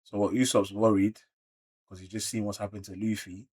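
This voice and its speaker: Male reaction creator, London British accent, casual and slangy, slightly rough-edged voice, excitable outbursts alternating with muttered comments. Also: on the low side, a little fast. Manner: slangy, animated exclamations mixed with muttered asides. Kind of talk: casual manga read-along reaction.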